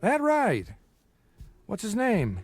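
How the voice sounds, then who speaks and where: A middle-aged, hard-drinking bookmaker in a radio play. His voice is high and very animated, full of wheedling street charm. Music sits under the scene. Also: slow pace, breathy edge, heavily acted. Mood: breezy, flattering. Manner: folksy street talk, flattering banter. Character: worn-out, boozy, wheedling small-time bookmaker, forty-six. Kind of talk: radio drama acting.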